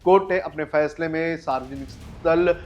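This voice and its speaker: A man's voice: on the high side, normal speed, a little mellow, dull audio. A man presents the news in a scripted narration style over a music bed. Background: background music.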